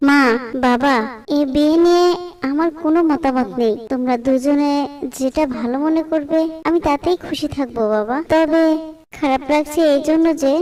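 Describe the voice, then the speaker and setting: A woman plays a devout young bride in a cartoon. She is dutiful and earnest, with a very high voice heard a little away from the mic. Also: normal speed, darkish tone, recorded from a distance, lightly acted. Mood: tender, sad.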